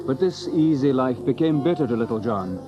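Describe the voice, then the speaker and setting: A storyteller of an old English adventure legend, voiced by a man. He narrates in archaic storybook prose at a medium pitch, with clear music underneath. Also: lively intonation, brisk, muffled recording, natural voice. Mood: storytelling. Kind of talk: scripted dramatic storybook narration.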